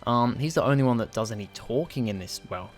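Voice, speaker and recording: A man's voice: medium pitch, lively intonation, fairly quick. Chatty young man from Yorkshire, faint music. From West Yorkshire, England. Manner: chatty, lots of ums and restarts. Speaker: video host ranking episodes. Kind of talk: casual review monologue.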